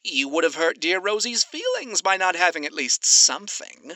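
Performer as a suave demon, sharp bright voice. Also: medium pitch, very animated, normal speed, no echo, pitched above the performer's own voice. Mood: bored, mocking. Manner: theatrical, drawling, menacing. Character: male radio demon, suave, sinister, teasing, predatory. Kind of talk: acted audiobook dialogue.

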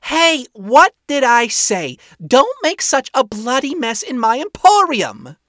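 A narrator playing a flamboyant society hostess, a woman pitched very high with big singsong swoops. She is gushing, cheery and bossy, a theatrical audiobook character. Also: big pitch swings, takes it slowly, fairly bright tone, no room sound, studio quality, heavily acted. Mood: scolding. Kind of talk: acted audiobook dialogue.

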